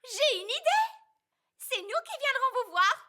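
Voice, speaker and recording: A woman's voice: very high-pitched, very animated, a little slow, sharp tone, a little hiss or hum, exaggerated voice. A woman playing a snobbish fairy queen in a children's cartoon, affected and effusive in her speech. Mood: gushing. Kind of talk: animated children's cartoon dub.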